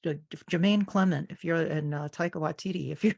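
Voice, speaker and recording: Creaky, low-pitched woman hosting a chat. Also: brisk, a little mellow, muffled recording. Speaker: podcast host. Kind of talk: casual chat.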